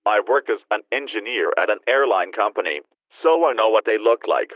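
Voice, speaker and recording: A man's voice: lowish voice, lively intonation, fairly quick, no room sound. A man playing an anxious eyewitness reporting from the scene of an attack in a mock news broadcast. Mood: matter-of-fact. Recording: dull lo-fi audio, very dry.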